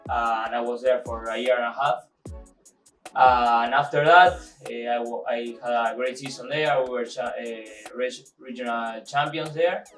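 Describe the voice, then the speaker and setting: A man in his twenties from Argentina with an Argentine Spanish accent, speaking English as a second language with frequent restarts and "eh" fillers in a documentary interview. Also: mid-range voice. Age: 20s. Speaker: university soccer midfielder. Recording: echoey room, distant mic, faint music.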